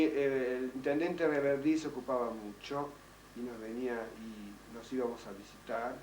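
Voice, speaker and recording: An older man whose first language is French tells his memories haltingly, restarting and searching for words. He sits back from the microphone in a roomy space. A faint hiss runs underneath. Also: mid-range voice, a little mellow. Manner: halting, many restarts and fillers. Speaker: interviewee, child survivor. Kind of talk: recorded oral history testimony. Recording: roomy, distant, faint noise.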